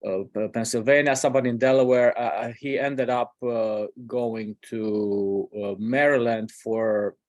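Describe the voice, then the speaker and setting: A man's voice: mid-range voice, steady tone, a little slow, hardly any pauses, a little mellow, no echo. A male physician casually presenting a case, hesitant and colloquial in his wording. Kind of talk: casual medical case discussion.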